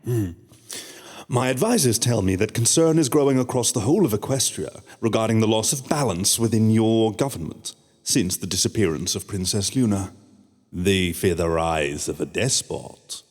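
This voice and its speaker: A sly pony upstart who flatters and schemes in a smooth, lowish voice, as a man acts him out. He speaks with a long hall reverb, like a radio play. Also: normal speed. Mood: scheming. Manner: smooth, fawning and sly, with soft chuckles. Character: scheming, oily male pony upstart courtier. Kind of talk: fan-made radio drama.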